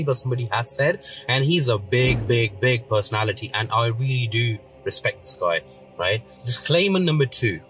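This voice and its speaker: A man's voice: mid-range voice, fairly bright tone, low-quality recording. A man in his thirties, born in Pakistan with Urdu as his first language, earnestly talking in a parked car, recorded on a phone, with many fillers. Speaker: commentator. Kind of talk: casual video rebuttal.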